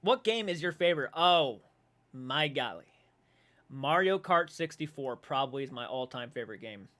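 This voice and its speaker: A man in his twenties hosting a casual livestream, reading viewer comments aloud and reacting with animated, swearing banter. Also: mid-range voice, normal speed. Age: 20s. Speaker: livestream host. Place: dark room at home.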